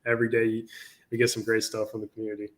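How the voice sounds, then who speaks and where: A man's voice: fairly low pitch, completely flat delivery, fast talker, poor audio. A man speaking for his project in a casual interview over a video call, confident and promotional, with frequent fillers. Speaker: project team guest.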